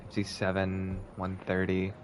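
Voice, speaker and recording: A man's voice: low-pitched, monotone, a little slow, very noisy. A man streaming a video game, giving casual, blunt commentary and swearing now and then. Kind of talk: gameplay commentary. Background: game music.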